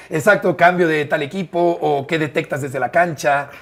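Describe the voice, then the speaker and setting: A Mexican man in his fifties with a Mexican accent, a sports commentator telling stories in an interview, expressive and emphatic with frequent fillers. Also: fairly high pitch, moderate pace, vocal fry. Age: 50s. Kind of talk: conversational interview storytelling.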